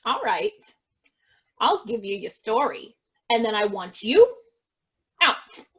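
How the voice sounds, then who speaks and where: Woman acting a high, slow-spoken melodramatic heiress. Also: sing-song, a bit bright, breathy edge, lo-fi sound, heavily acted. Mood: curt, haughty. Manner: theatrical, exclaiming. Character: defensive, melodramatic estate heiress, bickering with her sister. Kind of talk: amateur stage farce acting over video.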